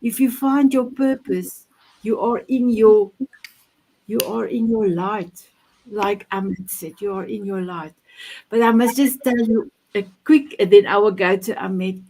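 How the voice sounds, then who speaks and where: An older woman from South Africa with a South African accent, Afrikaans her first language, telling a story as a guest on a talk show, lively and full of halting restarts. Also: medium pitch, poor audio. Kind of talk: casual chat.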